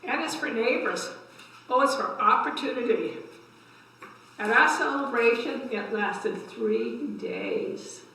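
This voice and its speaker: An older woman reads children's books aloud in a bright, fairly high voice. She adds simple explanations and questions for young listeners. The room is very echoey and she sounds a little way from the mic. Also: big pitch swings, slow pace, a little hiss or hum. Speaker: library story time host. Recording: echoey room, distant microphone.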